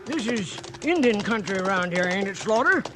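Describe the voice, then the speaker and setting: An actor playing a watchful, suspicious American cowhand sidekick with plain, folksy talk in a radio western. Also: male voice, sits high, lively intonation, moderate pace. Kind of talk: 1950s radio western acting. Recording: noisy old radio broadcast.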